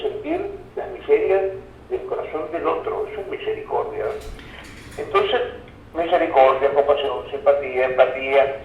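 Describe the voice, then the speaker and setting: A roomy, slightly muffled recording with faint noise carries a Venezuelan man's mid-pitched voice. He talks as an interview guest, explaining concepts in a reflective, teacherly way and checking in with his listener. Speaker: interview guest, academic expert. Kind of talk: explanatory interview talk with word origins and everyday examples. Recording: roomy echo, dull lo-fi sound, faint noise.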